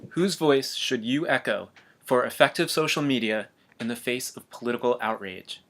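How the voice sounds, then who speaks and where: A man with a mid-range voice narrates an instructional video in an even, measured way. He explains each point earnestly, like a scripted lesson read from notes. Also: mid-range voice.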